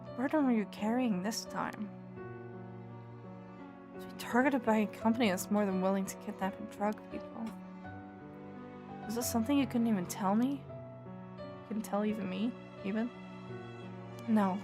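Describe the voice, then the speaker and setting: A woman's voice: mid-range voice, normal speed, long pauses, lightly acted. A woman with a mixed Scottish and Canadian accent voicing a tough young woman who was once in a gang, defiant and resolute, over game music. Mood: worried.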